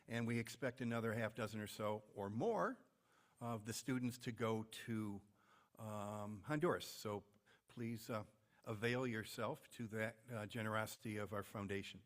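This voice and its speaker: A man's voice: medium pitch, normal speed. A man with an American accent reading announcements as host of a club meeting, with informal asides.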